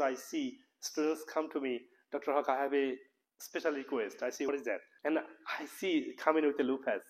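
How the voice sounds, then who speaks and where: A man's voice: mid-range voice, poor audio. A man with a Bangladeshi accent giving an informal health lecture, speaking earnestly in loose, repetitive English phrasing. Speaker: professor and health practitioner giving a talk.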